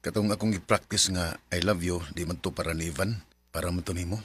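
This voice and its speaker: A man's voice: deep voice, fairly even intonation, fast, heavy background noise, close to an everyday voice. A man with a Filipino accent playing a shy, awkward, hesitant teenage boy in love in a radio drama. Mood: bashful. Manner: hesitant, bashful. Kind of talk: Philippine radio drama acting.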